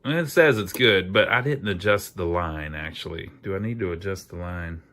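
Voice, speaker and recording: A man's voice: deep voice, normal speed, fairly bright tone. A man narrating a hands-on repair video in a casual, folksy way, thinking aloud as he works. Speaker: host of an amateur electronics repair channel.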